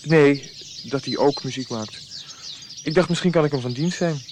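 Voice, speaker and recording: An actor playing an earnest, inquisitive travelling physician in a conversational radio-play style. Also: male voice, on the high side, moderate pace, close to an everyday voice. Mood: curious. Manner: probing, conversational. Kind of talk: radio drama acting. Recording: clear noise, birdsong behind.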